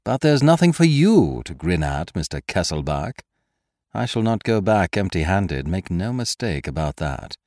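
A man voicing a triumphant, mocking gentleman thief in an audiobook, theatrical and commanding, firing off questions and taunts. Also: low-pitched, sing-song, moderate pace, dry recording, studio quality. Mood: taunting, impatient. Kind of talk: dramatised audiobook character voice.

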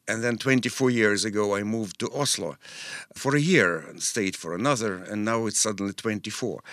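A man in his fifties from Russia, speaking, answers interview questions thoughtfully, pausing with fillers, in a studio. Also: fairly low pitch, a bit bright, dry recording. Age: 50s. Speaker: guest expert.

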